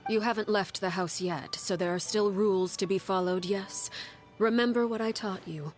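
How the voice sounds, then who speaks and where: An actress playing a stern, composed woman in authority, speaking in a measured, formal and commanding way in a dramatic video game. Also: mid-range voice, lightly acted. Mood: firm, restrained. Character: stern, composed woman who rules an orphanage as 'Father'. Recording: music under the voice.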